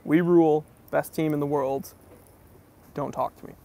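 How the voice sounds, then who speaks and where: Gravelly-voiced man hosting a casual Q&A. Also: a little high, fairly even intonation, normal speed, dark tone. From New England, US. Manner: reads viewer comments aloud, answers in a friendly easygoing way. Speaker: host of a watch channel. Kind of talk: casual livestream Q&A.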